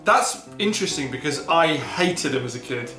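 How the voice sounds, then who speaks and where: A man's voice: on the high side, animated, moderate pace, bright, forceful tone, roomy sound, faint music behind. A man co-hosting a casual film podcast, laughing often and swearing casually as he talks. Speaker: podcast co-host.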